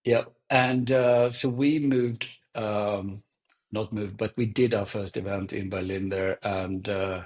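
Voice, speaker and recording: A man from Sweden with a Swedish accent and Swedish as his first language, telling his story in a halting, thoughtful way as a podcast guest. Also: on the low side, fairly even intonation, darkish tone. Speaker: guest, event company CEO. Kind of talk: podcast interview.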